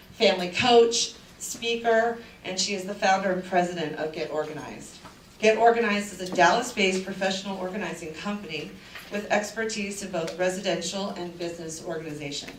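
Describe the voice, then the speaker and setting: Woman introducing a speaker in an echoey hall. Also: mid-range voice. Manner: reads a formal introduction, some fillers. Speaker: parent committee representative introducing the speaker. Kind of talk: event introduction. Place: school event room. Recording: echoey room from far off, noisy.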